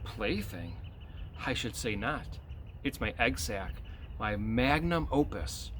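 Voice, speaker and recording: A weary, aging female spider of the storybook kind, read by a man. She speaks gently and with quiet dignity, at a middle pitch. There is faint background noise from outdoors. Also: animated, slow. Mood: dignified.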